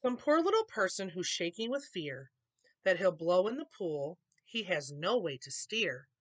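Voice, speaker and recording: A woman reads a children's picture book aloud as a storyteller. Her delivery is expressive, with lively swings in pitch. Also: normal speed, no echo.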